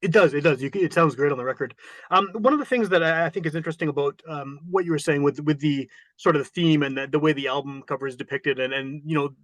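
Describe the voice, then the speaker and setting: A man's voice: a little high, fast, a bit bright, no echo. A man hosting a podcast, asking long winding questions full of restarts and repeated words. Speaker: podcast host. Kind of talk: interview questions.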